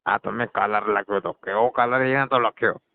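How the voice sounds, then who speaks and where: Man playing low-voiced, cheeky garage customer. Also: steady tone, moderate pace, vocal fry, dry recording. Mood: stalling. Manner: nonchalant, needling, stalling. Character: cheeky, evasive male garage customer dodging payment. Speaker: performer in a scripted comedy call. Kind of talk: scripted comedy phone call. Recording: dull lo-fi phone call recording.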